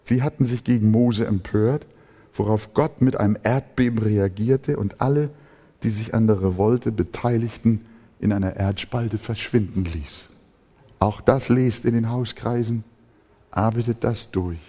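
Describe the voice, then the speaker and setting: German preacher in a reverberant hall. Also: male voice, fairly low pitch, measured pace, mellow. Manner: impassioned preaching, acts out complaining voices. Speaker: pastor. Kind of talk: sermon.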